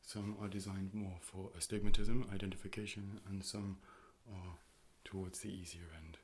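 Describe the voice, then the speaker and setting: A man playing a calm, polite robotic AI optician in an ASMR role play, speaking in a measured, formal, deadpan way. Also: deep voice, natural voice. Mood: calm, clinical. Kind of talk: ASMR medical role play, personal attention.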